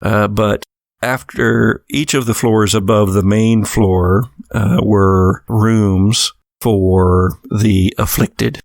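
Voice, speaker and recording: A man with a fairly low voice runs a horror tabletop game as game master. He speaks very slowly and descriptively, pausing often, in a dry, close recording. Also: fairly even intonation, vocal fry, no echo. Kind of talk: narrating a tabletop horror role-playing game.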